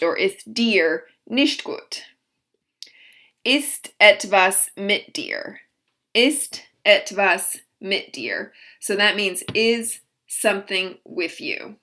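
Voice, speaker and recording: A woman teaching a language lesson, slowly modeling each phrase and then explaining it. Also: medium pitch, pushed and bright. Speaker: German teacher. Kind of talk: recorded vocabulary lesson.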